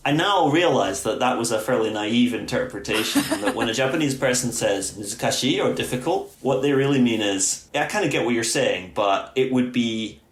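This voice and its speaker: A man's voice: medium pitch, animated, fairly quick, few pauses, a little hiss or hum. Earnest man explaining in a roomy space. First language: English. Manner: earnest, thoughtful, with frequent restarts. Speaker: seminary teacher, guest. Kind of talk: conversational explanation. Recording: roomy echo.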